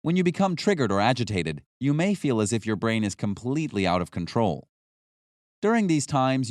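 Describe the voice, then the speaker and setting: A man narrates a self-help style nonfiction audiobook from a script. His mid-pitched voice moves up and down in a lively way, while the phrasing stays measured and instructional. The sound is dry, with no room around it. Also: no echo.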